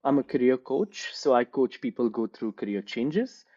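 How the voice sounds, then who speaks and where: Male coach guest, mellow voice, steady explanatory delivery, slightly muffled audio. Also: medium pitch, moderate pace, slightly rough. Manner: calm, fluent, explanatory. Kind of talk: reflective interview answers.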